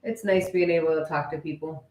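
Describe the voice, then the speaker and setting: A woman's voice: low-pitched, steady tone, brisk, a little mellow. A woman chatting casually and informally with viewers as co-host of a live stream. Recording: echoey room.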